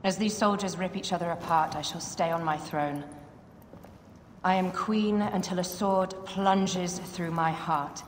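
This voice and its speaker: Echoing through a hall over faint noise, a woman plays a proud Egyptian queen in a video game drama. Her voice sits fairly low, imperious and defiant. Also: fairly even intonation, measured pace, natural voice. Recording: echoey hall reverb, faint background noise.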